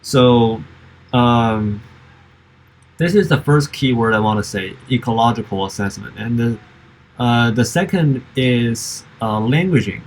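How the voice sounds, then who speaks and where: A man's voice: mid-range voice, a little hiss or hum, rough recording. A man whose first language is Chinese, giving a reflective spoken summary with frequent fillers and restarts. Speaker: summer institute participant.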